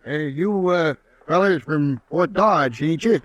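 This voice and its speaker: A coarse, combative frontier bettor. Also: male voice, on the high side, takes it slowly, fairly bright tone, no room sound. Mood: belligerent. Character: rough Civil War veteran horse bettor, belligerent. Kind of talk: 1950s radio drama acting.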